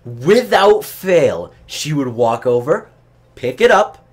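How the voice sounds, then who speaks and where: Animated man telling casual stories. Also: fairly high pitch, takes it slowly, husky. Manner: animated, swearing freely. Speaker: storyteller on a comedy chat show. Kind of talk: casual chat, telling work anecdotes.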